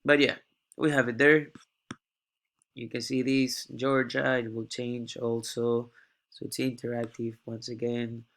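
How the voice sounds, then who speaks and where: A young man, an international student, giving a halting class presentation while sick with a cough. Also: mid-range voice, flat delivery, gravelly, fairly bright tone. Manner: halting, often repeats words before going on. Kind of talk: class presentation walking through a data dashboard.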